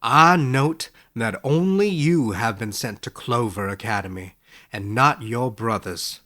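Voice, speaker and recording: A man playing a skeptical but kindly professor in a storybook, with a dry, measured, gently lecturing manner. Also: medium pitch. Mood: probing. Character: wry, skeptical, kindly male professor, unhurried and quick-witted. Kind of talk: storybook character voice in audiobook narration.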